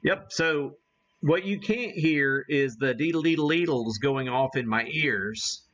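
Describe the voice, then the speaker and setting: A man hosting a casual live demo, thinking aloud in a halting, folksy, self-deprecating way. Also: mid-range voice, fairly bright tone. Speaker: host of a live ham radio demonstration. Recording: dull, muffled lo-fi sound.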